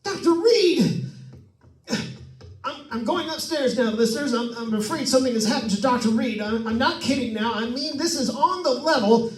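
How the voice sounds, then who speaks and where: A man's voice: extremely high pitch, normal speed, faint music behind. A man playing a nervous, chatty radio host alone in a haunted house, stammering and rambling in an old-time radio suspense style. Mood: panicked. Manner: stammering, jittery, rambling with forced cheer. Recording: echoey room, distant microphone.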